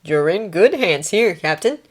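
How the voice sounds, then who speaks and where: A composed army doctor, acted by a woman reader, speaks briskly and with authority. He is a clipped, businesslike major in a wartime audiobook. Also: on the low side, animated, husky.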